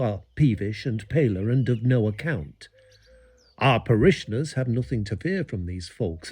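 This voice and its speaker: A fretful Georgian village parson from England in a period audio drama. His voice is mellow and husky. He speaks formally, in old-fashioned phrases, with lively rises and falls as he reads his letter. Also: medium pitch. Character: fretful eighteenth-century English village parson writing letters.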